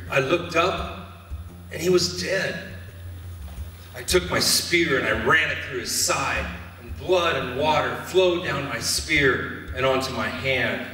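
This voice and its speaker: A man acting a shaken, remorseful Roman guard officer in a church stage drama, speaking in an earnest, halting confession. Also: medium pitch, natural voice. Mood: grave, awed. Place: church hall stage performance. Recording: echoey hall, distant mic, faint music.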